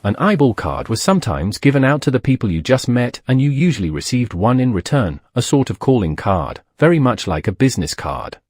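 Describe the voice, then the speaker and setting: A man's voice: medium pitch, very animated, brisk, few pauses, a little mellow, dry recording, studio quality. A man narrating a documentary from a script.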